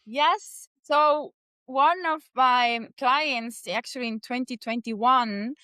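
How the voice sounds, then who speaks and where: A woman's voice: high voice, slow pace, sharp tone, clean tone, no echo. Estonian-accented woman, dry and close. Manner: frequent hesitations and restarts, earnest. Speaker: podcast guest. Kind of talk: interview answers.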